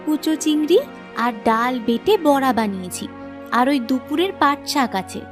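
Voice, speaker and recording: A caring, earnest young granddaughter from a cartoon, acted by a woman. Her voice is high and girlish, with soft music underneath. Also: animated, normal speed. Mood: practical, caring. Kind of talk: cartoon voice acting.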